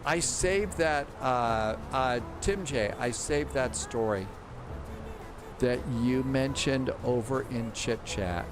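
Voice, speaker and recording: A man of ordinary pitch hosts a live flight-sim stream, chatting loosely with viewers. He muses aloud and trails off before picking up again. Background music plays clearly under his voice. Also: takes it slowly. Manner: rambling, thinks aloud with restarts. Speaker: livestream host. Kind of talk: casual live-stream chat reading viewer comments.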